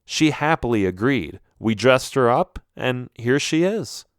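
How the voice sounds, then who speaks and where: A man voicing a gentle, reassuring queen and mother in a storybook, in a kindly manner. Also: medium pitch, expressive, a little slow, smooth, no room sound, clean studio sound, lightly acted. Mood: kindly explaining.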